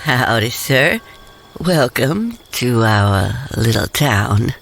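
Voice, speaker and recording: A man's voice: mid-range voice, very animated, very slow, creaky, fairly bright tone, exaggerated voice. A man playing a hunched, welcoming old woman innkeeper with a folksy drawl in an audio drama.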